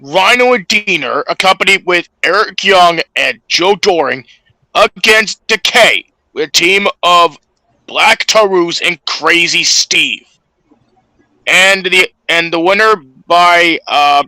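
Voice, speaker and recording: A man with a sharp, forceful, fairly high voice recaps matches on a podcast. His delivery is slow and halting, and the sound is close and dry. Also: no room sound, low-quality recording. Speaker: podcast co-host. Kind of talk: casual wrestling match recap.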